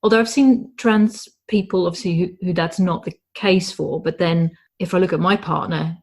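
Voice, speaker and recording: A woman's voice: on the low side, fairly even intonation, moderate pace, darkish tone, slightly rough. A woman hosting a podcast, asking curious questions and affirming her guest in casual conversation. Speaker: podcast host. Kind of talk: casual interview.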